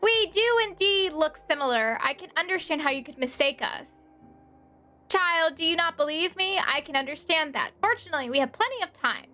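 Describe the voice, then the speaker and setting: High, bright, sly little trickster. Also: female voice, animated, a little fast, faint music behind, exaggerated voice. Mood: feigned innocence. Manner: wheedling, theatrical, sly. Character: cheeky little thief feigning frail old man.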